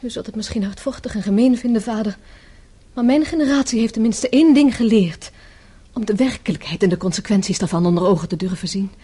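An actress in a radio play, playing a composed, pragmatic grown daughter who speaks firmly and earnestly. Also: female voice, mid-range voice, fast, warm tone, slightly rough, lightly acted. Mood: pleading, insistent. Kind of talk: radio drama acting.

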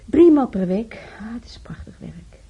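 An actress playing a pious, chattering widowed church volunteer in a radio drama, stammering and repeating herself with a husky, breathy voice. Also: female voice, a little high, sing-song, normal speed, dark tone, highs cut off. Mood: pious, earnest. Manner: rambling, stammering, repeats herself, earnestly preachy. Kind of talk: naturalistic radio drama dialogue.

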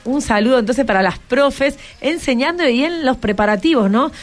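Argentine woman, radio host, fairly high voice, chatty and encouraging, question-heavy, light music underneath. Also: normal speed. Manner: encouraging, asks many questions. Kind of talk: chatty radio hosting with schoolchildren. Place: radio studio.

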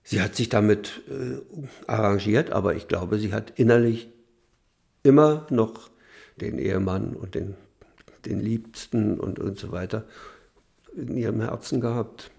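Hesitant older man with gravelly voice. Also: lowish voice, darkish tone. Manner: hesitant, halting with fillers. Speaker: interviewee recalling childhood. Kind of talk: archival interview recollection.

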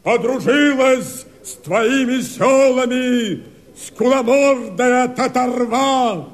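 Fiery Cossack rebel, very high pitch, slow declaimed verse, radio drama, rousing. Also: male voice. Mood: exalted, proud. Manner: declamatory, slow, drawn-out delivery with shouts. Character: fiery male Cossack rebel rallying the people.

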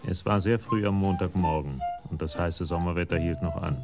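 An actor narrating a police radio drama in a measured, literary storytelling manner. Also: male voice, low voice. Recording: music under the voice.

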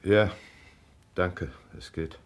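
An actor playing a gruff, uneasy, evasive husband in a radio drama, husky and halting in his speech. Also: low voice, steady tone. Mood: grudging, bitter. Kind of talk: naturalistic German radio drama acting.

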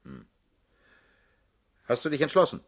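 A man's voice: a little high, huge pitch swings, measured pace, exaggerated voice. An actor in a radio play, playing a middle-aged political leader who is fatherly, self-assured and mocking, with a persuasive, commanding manner. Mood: probing, casual. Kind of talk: German radio play acting.

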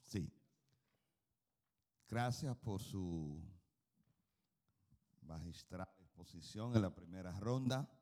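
Deep-voiced church panel moderator. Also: male voice, lively intonation, slow, pauses often, mellow.

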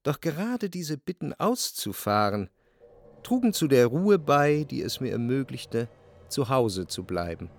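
An actor playing a jealous, brooding man recalling an obsessive love, in reflective, measured literary radio drama narration. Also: mid-range voice, sing-song, a little hiss or hum. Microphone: close dry studio microphone.

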